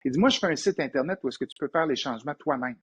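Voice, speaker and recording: Husky, higher-voiced Québécois man telling stories. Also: racing. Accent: Québécois French. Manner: animated anecdotal storyteller, frequent restarts. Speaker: entrepreneur guest. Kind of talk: storytelling in a casual interview.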